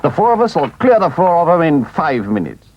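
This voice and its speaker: A man voicing a stern old male badger who speaks severely and deliberately. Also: a little high, sing-song, lo-fi sound, slight background noise, voice pushed up. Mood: firm.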